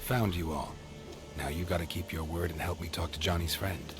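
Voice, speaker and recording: A man acts out a gruff monster hunter for a fantasy game cutscene. His voice is deep and gravelly, his delivery terse and deadpan, and loud music plays behind him. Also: normal speed, lightly acted. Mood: playful, dry. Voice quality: low, gravelly. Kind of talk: video game cutscene acting.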